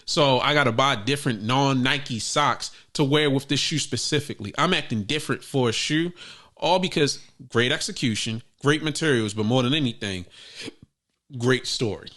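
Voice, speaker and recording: A man co-hosting a casual sneaker podcast, talking in a profane, animated way that jumps up loud when he jokes. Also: medium pitch, moderate pace. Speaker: podcast co-host. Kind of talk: casual chat.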